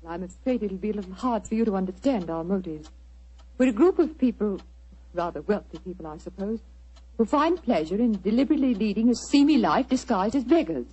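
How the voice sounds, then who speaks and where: A woman acting a genteel, earnest, wealthy society lady in a 1940s radio drama, polite, measured and dramatic. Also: medium pitch, animated, warm tone, breathy edge. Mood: earnest, confessional. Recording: muffled vintage 1940s radio recording.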